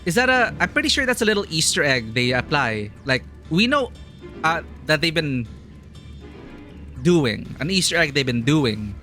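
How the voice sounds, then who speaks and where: Man, game streamer, casual chat, laughs a lot, mocking put-on voices, fairly high, bright, faint music behind. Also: sing-song, moderate pace. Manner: chatty, laughs often, mocks villains in put-on voices. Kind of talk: casual live-stream commentary.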